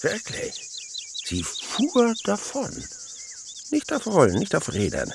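Wildly animated, gravelly father naturalist. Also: high voice, slow pace, noisy background, full character voice. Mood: excited, dramatic. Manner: theatrical, swinging between hushed wonder and exclamation. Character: imaginative, playful father and insect researcher, spinning wondrous tales. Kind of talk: German radio play acting.

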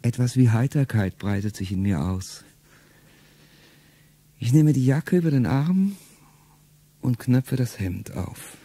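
A middle-aged man speaking a first-person radio play monologue in a low, intimate way that often sinks to a whisper. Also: expressive, slow, pauses often, warm tone, slightly rough, no echo.

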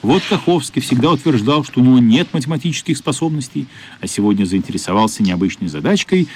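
A man narrating a radio play in an expressive, measured literary storytelling style. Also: medium pitch, a little hiss or hum.

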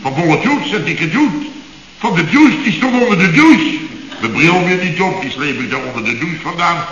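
Radio comedy boss: excitable contractor, bright forceful voice, blustering and indignant, on a hissy echoey old recording. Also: male voice, high-pitched, moderate pace, highs cut off. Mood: agitated. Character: flustered, excitable male building contractor boss recounting his mishaps. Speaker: construction firm boss. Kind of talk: radio comedy serial acting.

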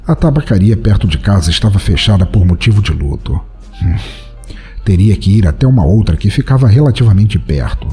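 Man acting a low-voiced, cynical hard-drinking poet. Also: expressive, fairly quick, warm tone, soft background music, natural voice. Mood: sardonic. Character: cynical, world-weary hard-drinking male poet, aged twenty-nine. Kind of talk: first-person audiodrama narration of a short story.

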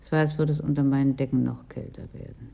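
A woman's voice: a bass voice, fairly even intonation, fast talker, creaky, muffled recording, heavily acted. An actress in a German radio play playing a chilled, wistful woman who owns property in a frozen city, querulous and brooding. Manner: querulous, brooding, dwells on memories.

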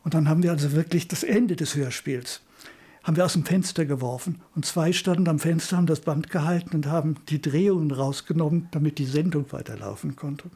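An older man with a husky voice reminisces informally, as in an interview, about his working life in radio. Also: fairly high pitch, brisk, dark tone. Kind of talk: interview reminiscence, spontaneous storytelling.

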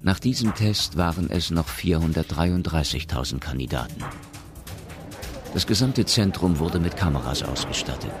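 Super deep gravelly guy narrator of a sci-fi radio play, measured and sober, music under him. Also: animated. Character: sober male narrator of a science fiction story. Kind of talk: scripted radio drama narration.

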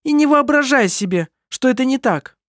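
A shrill furious young woman, as the reader puts her on. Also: lively intonation, moderate pace, no room sound, clean studio sound. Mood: angry. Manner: shrill, shouting.

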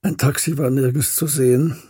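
A melancholy scholar in a radio play, retracing his lost past. The voice is very husky and mellow, a little high for a man, and recorded close and dry. He sets out each detail precisely and without hurry. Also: steady tone, moderate pace, no room sound. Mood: weary, pensive. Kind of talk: literary radio drama narration.

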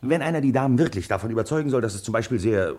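An actor playing a shrewd, self-assured police chief in a radio drama, speaking deliberately and persuasively in an official manner. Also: male voice, mid-range voice, animated, a little mellow, close to an everyday voice. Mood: firm, persuasive. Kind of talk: German radio drama acting.

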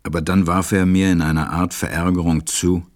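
A man playing a stranded pilot who narrates a radio play in a measured, reflective way. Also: sits low, little pitch movement, no echo, studio quality, lightly acted. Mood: reflective, worried.

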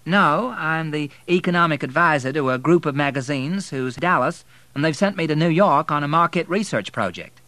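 A man's voice: fairly high pitch, moderate pace, few pauses, pushed and bright, dry recording, pitched above the performer's own voice. A man voicing a poised, teasing Southern woman who is a wealthy divorcee. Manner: arch, teasing.

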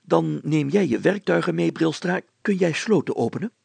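A man voicing an excitable, secretive village mayor in an audiobook, telling things emphatically and deliberately in a creaky voice. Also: on the high side, no echo, heavily acted. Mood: eager, plotting. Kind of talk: acted audiobook dialogue.

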